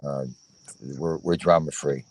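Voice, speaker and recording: A man joining a livestream chat as a guest over his phone, earnest and prone to repeating his points with halting restarts. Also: extremely low pitch, fairly even intonation. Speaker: guest, fellow show host.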